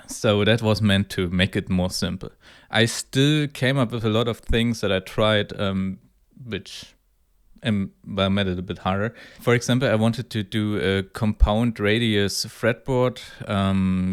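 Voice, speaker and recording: Low-pitched man with a clear, smooth voice. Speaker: hobby guitar builder presenting own project. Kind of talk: casual talk to camera.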